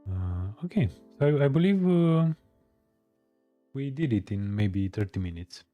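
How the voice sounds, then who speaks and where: A man hosting a live stream, chatting casually with viewers, hesitant with many pauses and fillers. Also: fairly low pitch, big pitch swings, phone-quality sound. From Romania. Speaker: live-streaming coding host. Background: faint music.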